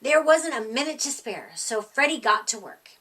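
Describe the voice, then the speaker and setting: A woman with a fairly high, bright voice narrates a children's storybook. Her read-aloud is expressive and emphatic, pitched for young listeners at storytime. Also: normal speed, distant mic, rough recording. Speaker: storyteller reading a children's picture book.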